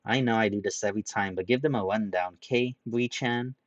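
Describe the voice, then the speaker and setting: A man's voice: lowish voice, fairly quick, no echo, dull audio, natural voice. A man reading a story aloud, voicing a cheerful young woman who talks in a chirpy, sing-song way.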